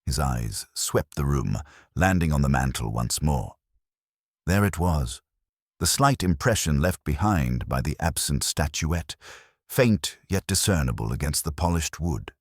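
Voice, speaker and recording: A man reading a scripted mystery story in a measured, formal storytelling manner. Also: very deep voice, expressive, husky, no echo, studio quality. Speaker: audiobook narrator.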